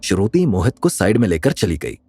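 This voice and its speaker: A man's voice: fairly low pitch, big pitch swings, brisk, mellow, husky, dry recording. A man narrating a scripted audio drama in a dramatic storytelling style. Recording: music under the voice.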